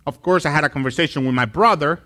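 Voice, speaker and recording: Pastor guy preaching in church, high-ish voice, bright tone, lively storytelling. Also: fast talker. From Colombia. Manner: animated storytelling, acts out voices. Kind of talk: sermon. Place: church stage with wood wall.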